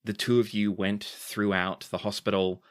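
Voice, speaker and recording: A man narrating as game master of a tabletop game, relaxed and chatty, correcting himself as he goes. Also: fairly low pitch, normal speed.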